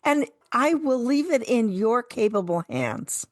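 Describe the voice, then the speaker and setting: Unhurried woman, lively radio co-host. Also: fairly high pitch, no echo, clean studio sound. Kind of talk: casual radio chat with some program introductions.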